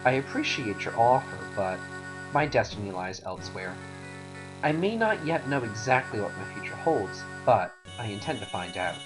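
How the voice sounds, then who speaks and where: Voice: medium pitch, big pitch swings, moderate pace, natural voice, poor audio. A performer voicing an earnest, resolute young male knight in a fantasy game, polite but firm. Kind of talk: voiced game cutscene dialogue. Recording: game music behind voice. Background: music.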